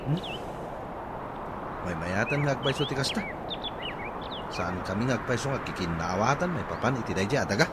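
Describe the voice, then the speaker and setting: A man's voice: medium pitch, lively intonation, normal speed, pauses often, close to an everyday voice. A man with an Ilocano accent playing a reflective brother in a radio comedy drama. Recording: very noisy radio drama with ambience.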